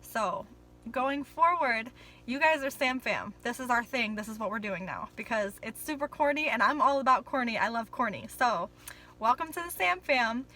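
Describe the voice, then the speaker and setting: A woman's voice: on the high side, animated, normal speed, pushed and bright, poor audio. A young woman vlogging in a casual, rambling way, full of ums and apologies, a little nervous on camera.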